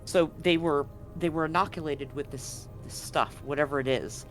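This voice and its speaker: A man narrating a live tabletop game as game master, conversational and often halting to correct himself. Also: fairly high pitch, a bit bright. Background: music.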